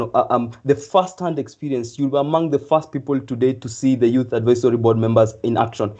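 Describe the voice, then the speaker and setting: Young male host, upbeat and rambling, dark muffled tone. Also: mid-range voice. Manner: enthusiastic, rambling with many fillers. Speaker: event host. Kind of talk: live online event hosting.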